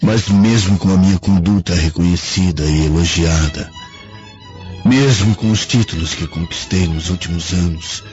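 Very deep-voiced, brooding Roman nobleman played by a man. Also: normal speed, darkish tone, natural voice. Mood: regretful. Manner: earnest, brooding, theatrical. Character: remorseful Roman nobleman, anguished and insecure. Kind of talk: radio drama acting. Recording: dull lo-fi audio with faint music.

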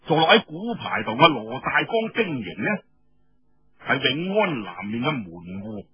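Cantonese guy doing dramatic storytelling, really raspy voice, dull roomy recording. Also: mid-range voice, a little slow, soft background music. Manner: colloquial, expressive, with rhetorical asides and exclamations. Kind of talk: dramatic traditional oral storytelling narration.

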